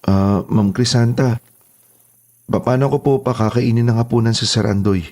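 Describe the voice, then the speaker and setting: A man's voice: medium pitch, fairly even intonation, moderate pace, a little mellow, slight background noise, close to an everyday voice. A man with a Filipino accent playing a respectful, eager new houseboy in a horror audio drama. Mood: polite. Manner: respectful, deferential. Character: polite, eager, deferential new male houseboy.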